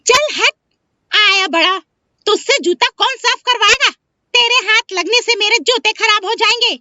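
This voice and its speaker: Woman as a shrill, haughty, scornful customer. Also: measured pace, pushed and bright, dry recording, heavily acted. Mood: scornful anger. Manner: scolding, contemptuous. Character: haughty, stingy, scornful male shoeshine customer. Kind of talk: exaggerated animated moral-story dialogue. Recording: dry, dull lo-fi studio sound.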